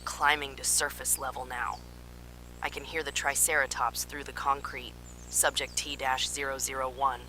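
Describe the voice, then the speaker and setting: A woman's voice: rumbling low, flat delivery, moderate pace, bright, forceful tone, slight background noise, low-quality recording. A woman playing an alarmed park scientist, giving urgent, clinical field reports in an analog horror drama. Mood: grim, disturbed. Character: alarmed female park scientist transmitting desperate emergency warnings.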